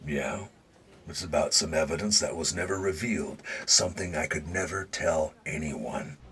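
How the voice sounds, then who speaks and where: An actor playing a dying retired Secret Service agent in an audio drama, older, gruff and folksy, weary and confiding, with dry humor. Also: male voice, rumbling low, normal speed, fairly bright tone. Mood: secretive, serious.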